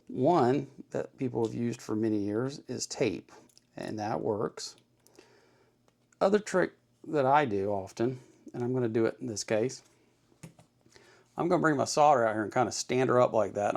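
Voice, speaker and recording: A man with a mid-pitched voice and plenty of gravelly fry hosts an informal how-to. He talks in a folksy, plain-spoken way, reassuring the learner and going back over his points. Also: expressive, normal speed, a little mellow. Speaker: host of an electronics kit-building tutorial. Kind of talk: informal hands-on tutorial talk.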